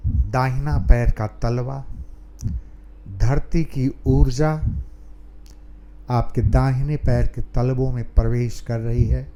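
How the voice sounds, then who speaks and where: A man leading a guided meditation calmly, pausing between short phrases. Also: medium pitch, dull audio. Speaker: meditation teacher.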